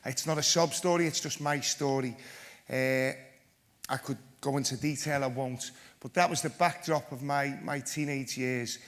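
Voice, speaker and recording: A man's voice: medium pitch, fairly even intonation, moderate pace, fairly bright tone. A man giving a conference talk, telling his own story in a blunt, self-deprecating and joking way. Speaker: panel speaker, former PE teacher.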